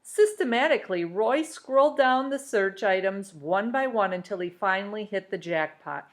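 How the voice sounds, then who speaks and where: A woman's voice: medium pitch, lively intonation, normal speed. Woman reading a story aloud. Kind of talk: expressive audiobook-style story reading for children.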